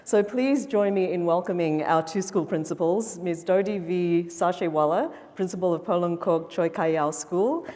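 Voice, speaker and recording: Mellow-voiced woman moderating a panel. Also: lowish voice, normal speed, few pauses. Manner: friendly, guiding questions. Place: event hall before an audience. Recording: reverberant event hall.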